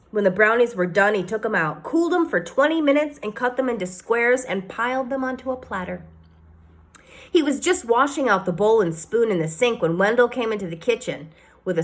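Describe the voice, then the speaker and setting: A woman reading a children's novel aloud, the way a teacher reads to a class. Her storytelling is expressive, with shifting emphasis and pace. Her pitch sits in the middle for a woman.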